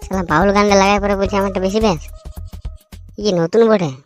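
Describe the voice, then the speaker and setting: A woman's voice: medium pitch, steady tone, fast talker, soft background music, close to an everyday voice. A woman voicing a grumbling rival male village DJ with a Purulia Bengali dialect, muttering and swearing to himself.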